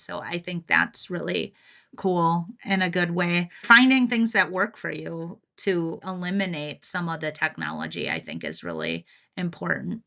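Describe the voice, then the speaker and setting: Bright-voiced woman in casual podcast chat. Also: fairly low pitch, gravelly. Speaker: podcast co-host.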